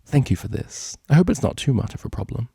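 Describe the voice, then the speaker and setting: A man's voice: mid-range voice, very animated, quick pace, creaky, warm tone, husky, no echo, clean studio sound, pitched above the performer's own voice, heavily acted. A refined young storybook mare, voiced by a man, speaks in a lifted, polite voice. She is affectionate, with an anxious streak. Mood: politely concerned.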